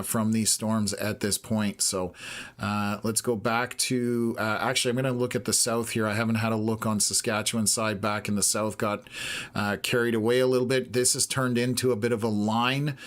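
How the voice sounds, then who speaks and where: A hoarse Canadian man hosting a live weather stream, talking through radar scans in a steady, measured way with lots of filler "uh"s. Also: lowish voice. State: hoarse, losing his voice. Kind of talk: live storm-tracking commentary.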